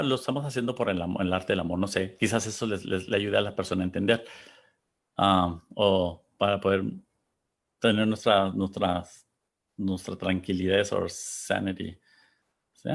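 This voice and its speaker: A man from Texas hosting a panel, talking casually and reflectively, pausing to search for words and reading out audience comments. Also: lowish voice, steady tone, creaky. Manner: thoughtful, searching for words, pauses.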